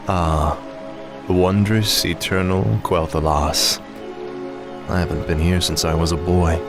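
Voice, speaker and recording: Low, cold undead death knight. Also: male voice, fairly even intonation, a little slow. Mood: wistful, sardonic. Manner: haughty, theatrical. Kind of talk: video game cutscene acting. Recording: music behind voice.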